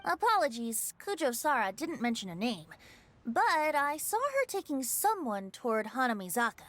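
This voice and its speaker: A woman's voice: high-pitched, sing-song, moderate pace, a bit bright. A woman voicing a carefree, cheeky young male bard in an anime-style game, breezy and teasing. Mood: mildly apologetic.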